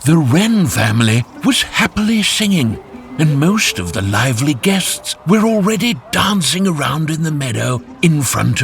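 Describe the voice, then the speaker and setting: A man narrating a scripted children's fairytale in an expressive, animated storytelling way. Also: medium pitch, gravelly.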